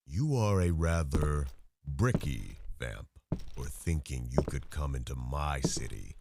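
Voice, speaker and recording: Menacing vampire hunter, played by a man, extremely low and slow, formally courteous and mocking, ASMR roleplay. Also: gravelly, very noisy, heavily acted. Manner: formal, taunting, theatrical speech.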